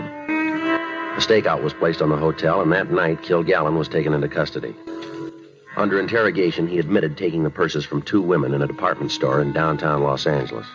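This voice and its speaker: A dull 1950s radio recording of an American police detective. His voice is extremely low and he talks quickly, deadpan and clipped, in matter-of-fact radio drama fashion. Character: terse, matter-of-fact male police detective narrating a case.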